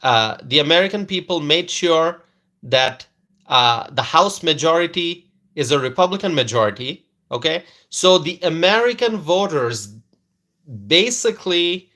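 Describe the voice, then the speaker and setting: A man's voice: fairly high pitch, expressive, measured pace, pushed and bright. A man from Bangladesh, hosting a political commentary live stream, repeating his points and tagging them with "okay?", greeting viewers by name. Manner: repetitive, keeps adding "okay?" tag questions; hesitant fillers and restarts, greets viewers by name.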